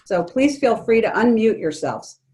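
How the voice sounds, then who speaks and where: A woman leading an online workshop for educators, speaking calmly and gently with frequent ums. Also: on the high side, normal speed. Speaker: presenter leading an educators' forum. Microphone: video call.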